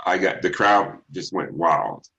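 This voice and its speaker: Deep bass baritone guy from texas, middle-aged singer, thinks out loud with lots of ums. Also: lo-fi sound. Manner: unhurried, reflective, frequent ums and restarts. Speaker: singer guest. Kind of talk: interview answers.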